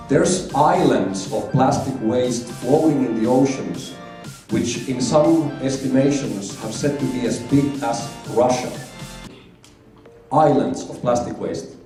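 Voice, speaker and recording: A man's voice: medium pitch, mellow. Finnish man presenting in an echoey hall. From Finland. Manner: emphatic, repeats key figures for effect. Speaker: conference presenter. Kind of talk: business conference presentation. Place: large conference hall. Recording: echoey hall, heard from far off.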